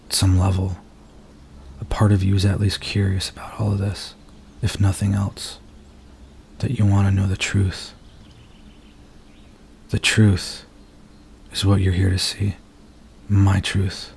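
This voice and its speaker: A man playing a nervous, earnest werewolf in an intimate ASMR roleplay, speaking softly and haltingly as he confides in his date. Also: deep voice, completely flat delivery, gravelly, a little hiss or hum. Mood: gentle, reassuring. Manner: soft-spoken, hesitant, halting, earnest.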